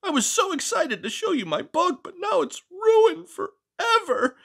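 A man voicing a fussy, excitable, melodramatic neat-freak frog in a storybook read-aloud, full of exasperated exclamations. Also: very high voice, unhurried, creaky, voice pushed up, full character voice. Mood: panicked.

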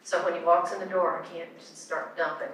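Older lady with a lowish voice, kinda rambly, echoey hall from far off. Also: level tone, a little fast, fairly bright tone, slight background noise. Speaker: pastor's wife. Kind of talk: sharing her story on a panel. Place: church stage, large room. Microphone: handheld mic.

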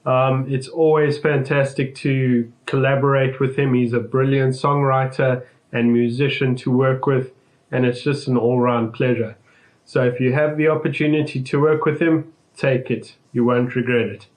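A man's voice: mid-range voice, little pitch movement, normal speed. Upbeat South African-born man in drum studio. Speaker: session drummer. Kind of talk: friendly recorded video message. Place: home drum studio indoors.

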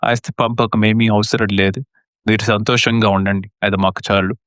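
A man's voice: on the low side, little pitch movement, normal speed, fairly bright tone, smooth, dry recording, studio quality, lightly acted. A man playing a mild, self-sacrificing elder brother who keeps the peace in a family story. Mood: resigned. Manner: conciliatory.

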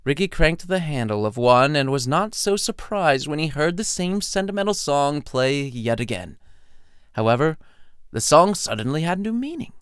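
High-pitched male storyteller, very animated. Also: normal speed, clear, resonant tone, no echo, clean studio sound. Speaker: author reading own short story. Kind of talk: scripted audiobook narration.

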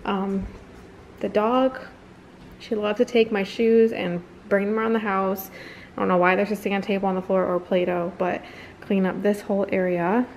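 Female home vlogger, 30s, casual and chatty, mid-pitched, slight room noise behind. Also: level tone, normal speed, darkish tone, low-quality recording. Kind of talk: casual chatty vlog talk. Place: indoors in a house living area.

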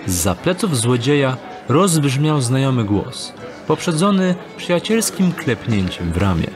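Mid-pitched male narrator over music. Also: very animated, unhurried, a crowd in the background. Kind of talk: scripted narration of a fantasy audio drama.

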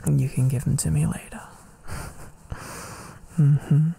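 A man doing an intimate boyfriend ASMR roleplay, affectionate and soothing, with a soft breathy voice that often drops to a whisper, close on the mic. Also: on the high side, little pitch movement, takes it slowly. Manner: affectionate, soothing, murmuring with hums. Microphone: close microphone.

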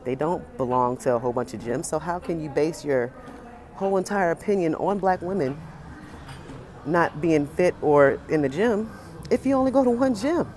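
An American woman in her forties talking casually and emphatically to camera as a fitness vlogger, pausing between phrases. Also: low voice, darkish tone, a little hiss or hum. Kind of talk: casual opinionated talk to camera. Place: indoor gym with high ceiling.

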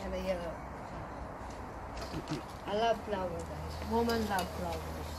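A woman's voice: lowish voice, unhurried. A woman in her forties with a Filipino accent, hosting a cooking vlog in a back garden and chatting casually in a mix of English and Tagalog. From Philippines. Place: small back garden, outdoors. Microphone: camera a few feet away. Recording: outdoor recording with clear background noise.

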